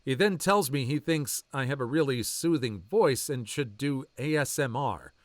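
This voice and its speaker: A man reading online stories aloud as a channel narrator, with a lively, swooping delivery. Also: mid-range voice, normal speed, dry recording. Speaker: story narrator.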